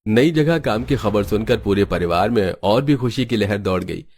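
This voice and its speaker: A man's voice: on the low side, lively intonation, moderate pace, darkish tone. A man reading scripted narration for a cartoon family story. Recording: faint background noise.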